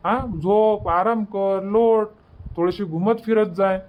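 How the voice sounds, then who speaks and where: A man's voice: sits high, fairly even intonation, slow, muffled recording. A man voicing an impatient, bossy village family man in a cartoon comedy, rambling in colloquial Vidarbha Marathi. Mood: matter-of-fact.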